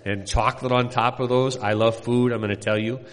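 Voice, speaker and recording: A middle-aged man from Indiana, a missionary pastor, introducing himself to a congregation in a folksy, rambling way with many pauses. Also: mid-range voice, level tone, in a large hall. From Indiana, USA.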